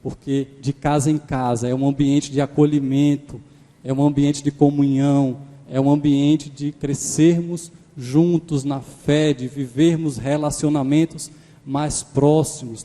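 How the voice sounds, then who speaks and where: Male preacher, 30s, Pernambuco accent, mellow voice, reverberant church hall, handheld mic. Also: medium pitch. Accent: Pernambuco Portuguese mixed with Maranhão. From Pernambuco, Brazil. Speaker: guest preacher. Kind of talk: sermon. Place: large church sanctuary. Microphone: handheld microphone.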